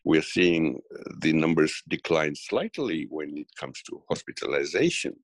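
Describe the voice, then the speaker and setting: A middle-aged man from Tanzania giving a political interview, speaking haltingly with many fillers and restarts. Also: extremely low pitch, very animated, vocal fry, no echo. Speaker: guest commentator.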